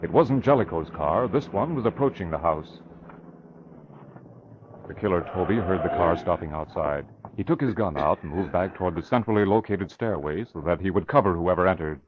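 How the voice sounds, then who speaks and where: A man's voice: low voice, lively intonation, moderate pace. A man reading scripted narration for a 1940s radio crime drama. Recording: dull, faintly noisy old radio recording.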